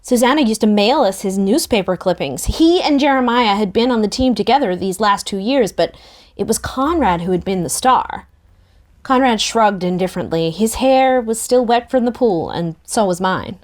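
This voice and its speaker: A woman narrating a first-person novel in a relaxed, expressive table-read style. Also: mid-range voice, normal speed.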